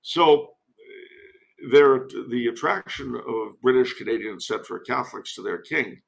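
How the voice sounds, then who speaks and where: Male commentator from the US, creaky voice, measured and wry, conversational podcast guest. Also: mid-range voice. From United States. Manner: wry, measured, deliberate phrasing.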